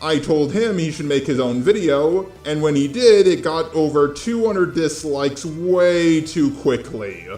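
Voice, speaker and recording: A man's voice: fairly high pitch, expressive, measured pace, few pauses, darkish tone. Man narrating commentary in an echoey room. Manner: informal, blunt, addresses viewers directly. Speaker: host of online drama commentary videos. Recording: roomy echo with music underneath.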